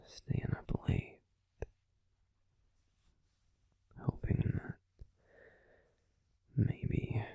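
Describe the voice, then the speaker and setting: A man playing a grumpy but tender werewolf boyfriend in an intimate sleep-aid audio roleplay, confiding casually in a breathy, husky, unhurried whisper, close to the microphone. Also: mellow, no room sound, lightly acted. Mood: confiding, subdued. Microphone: close microphone, dry.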